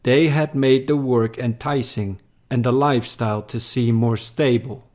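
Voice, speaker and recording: A man reciting a scripted poem in a measured, deliberate way. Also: medium pitch, fairly even intonation, darkish tone. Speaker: poem reader.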